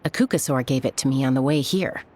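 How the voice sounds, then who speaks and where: Low-voiced, blunt young woman. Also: fast talker, a little mellow, a little hiss or hum. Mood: casual. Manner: dry, matter-of-fact. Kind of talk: video game voice acting.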